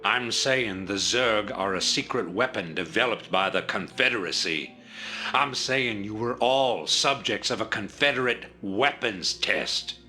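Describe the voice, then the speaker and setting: An actor playing a calculating, authoritative rebel leader in a video game cutscene, speaking in a measured, persuasive way. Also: male voice, low-pitched, bright, forceful tone.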